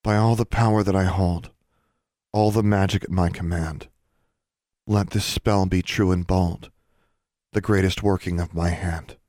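A man's voice: low-pitched, fairly even intonation, a little slow, darkish tone, no room sound, clean studio sound. A man voicing a teenage girl witch who is desperate, defiant and insistent, as in an audiobook. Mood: solemn, incanting. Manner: insistent, challenging.

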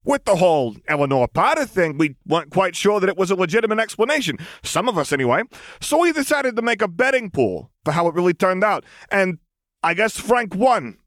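A man's voice: high-pitched, big pitch swings, a little fast, a bit bright, no room sound, studio quality, voice pushed up. A man reading aloud, voicing an awkward, naive young male vampire who explains things hesitantly. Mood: explaining.

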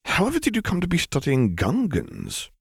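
Man acting middle-aged navy officer, reserved, formal and polite, raised pitch, dry audiobook sound. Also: huge pitch swings, moderate pace, gravelly, husky, dry recording, exaggerated voice. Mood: politely curious. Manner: formal, clipped, polite phrasing.

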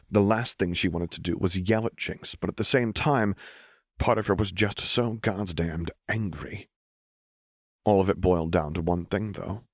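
A man's voice: low voice, no room sound, studio quality. A man reading a story aloud as scripted audiobook narration. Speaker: audiobook reader.

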